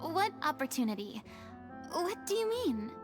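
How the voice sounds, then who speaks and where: A flamboyant young diva with a high, lively voice that swoops up and down, drawing her words out slowly. She speaks theatrically and excitably over game music. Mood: flustered. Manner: theatrical, excitable, drawn-out delivery. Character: theatrical flamboyant young woman, dramatic and self-assured. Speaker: game character. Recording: game cutscene audio with music.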